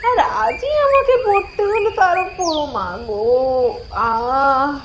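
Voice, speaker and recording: A woman's voice: very animated, takes it slowly, fairly bright tone, a little hiss or hum, exaggerated voice. A woman voicing a bossy, scheming young village elder sister in a cartoon, complaining and scolding in an exaggerated whiny manner. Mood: pained wailing. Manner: shrill complaining, wailing and scolding.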